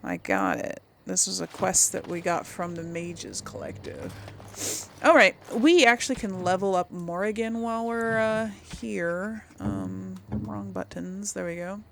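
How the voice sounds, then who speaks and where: A woman's voice: fairly low pitch, big pitch swings, unhurried, gravelly, slight background noise. A woman giving casual, chatty video game commentary, thinking aloud as she plays.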